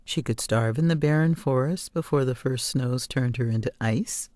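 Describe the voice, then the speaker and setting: A woman narrating a scripted story as an audiobook reader, expressive and deliberate. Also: very deep voice, dry recording, studio quality. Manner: deliberate, measured.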